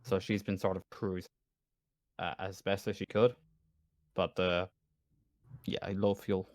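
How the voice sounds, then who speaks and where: Halting low-voiced male game master. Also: level tone, no echo, studio quality. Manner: halting, searching for words. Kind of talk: casual tabletop game narration.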